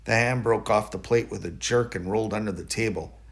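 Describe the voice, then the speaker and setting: A man narrating a children's bedtime story in an expressive way, leaning dramatically on key words. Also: on the low side, a little fast. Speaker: storybook reader.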